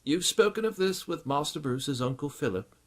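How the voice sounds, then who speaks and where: A man voicing a dutiful, concerned butler in an audiobook, speaking in a formal, measured and politely reserved way. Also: fairly high pitch, lively intonation, pitched above the performer's own voice. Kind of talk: audiobook character acting.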